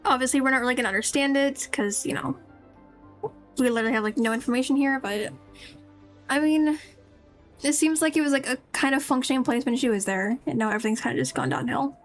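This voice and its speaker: A woman's voice: high voice, quick pace, faint music behind. A woman casually commenting on a game as she streams, musing and rambling as she goes. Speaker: streamer playing a story game.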